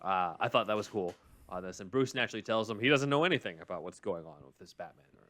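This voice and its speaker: Low-voiced man hosting a podcast. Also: animated, fast talker, fairly bright tone. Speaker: podcast host. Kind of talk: casual discussion and ad reads.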